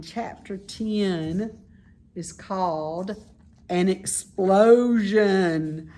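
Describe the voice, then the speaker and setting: A woman reading a children's story aloud in expressive narration. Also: lowish voice, a little hiss or hum. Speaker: storybook reader.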